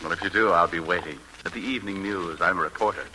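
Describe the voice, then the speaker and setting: An actor playing an eager, flirtatious newspaper reporter in 1940s radio style, brisk and persistent. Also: male voice, low voice, pushed and bright. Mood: flirtatious, insistent. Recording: noisy vintage 1940s radio recording.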